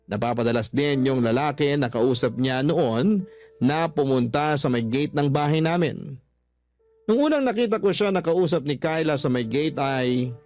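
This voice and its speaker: Male actor as measured Filipino story narrator, mid-pitched. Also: darkish tone, natural voice. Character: letter sender recounting suspicions about household girlfriend. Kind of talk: scripted first-person story narration, radio drama.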